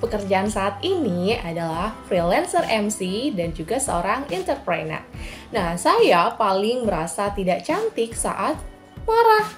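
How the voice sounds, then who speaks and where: A woman's voice: medium pitch, big pitch swings, unhurried, faint music behind. A woman in her late twenties from Lampung, Indonesia, giving a personal testimonial introduction. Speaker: freelance MC and entrepreneur.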